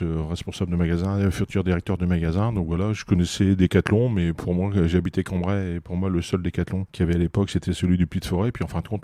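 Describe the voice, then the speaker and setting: A man's voice: deep voice, mellow, no echo, clean studio sound. A man in his fifties from northern France, a business owner chatting as a podcast guest, talking with many fillers and restarts. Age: 50s. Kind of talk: casual interview talk.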